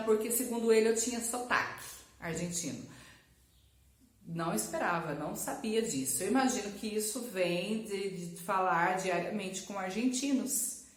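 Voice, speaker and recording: A Brazilian woman telling a personal story casually, in a chatty, expressive way, with a Brazilian Portuguese accent. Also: medium pitch, moderate pace. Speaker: language teacher and vlogger. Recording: echoey, roomy sound.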